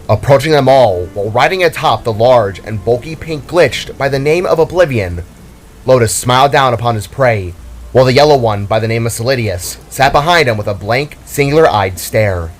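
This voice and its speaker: A man's voice: mid-range voice, slight background noise. A man reading a scripted, dramatic story as its narrator. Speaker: author and narrator.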